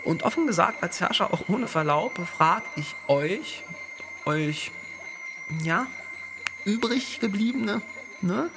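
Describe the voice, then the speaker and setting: A whiny, taunting tyrant from a radio play. The voice sits high and swoops up and down, dragging words out with a nagging edge. There is some noise in the home recording. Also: male voice, lively intonation, exaggerated voice. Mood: sneering, haughty. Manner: theatrical, over-stressed final syllables, drawn-out words. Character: Lykos, whiny, sneering, taunting male tyrant. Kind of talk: theatrical radio play acting. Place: home, online video call.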